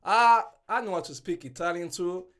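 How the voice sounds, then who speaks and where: A young man hosting a reaction video, talking in loose, slangy, animated bursts and laughing between lines. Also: high-pitched, normal speed. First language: English. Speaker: reaction channel host. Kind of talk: casual reaction commentary.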